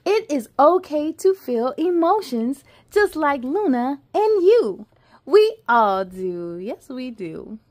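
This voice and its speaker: A woman in her thirties hosts a read-aloud for kids. Her voice is high and very animated, with an expressive, sing-song way of addressing children. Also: slow. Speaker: children's story time host. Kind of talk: children's read-aloud with asides to young viewers. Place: living room at home.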